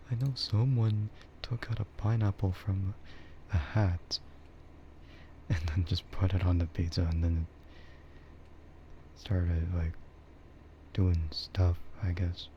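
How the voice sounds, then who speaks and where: A man chatting casually and soft-spoken with his livestream viewers, rambling in a relaxed way. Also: low voice, takes it slowly, long pauses, highs cut off, a little hiss or hum. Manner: relaxed, soft-spoken ASMR yapping, often chuckling. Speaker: streamer, VTuber host.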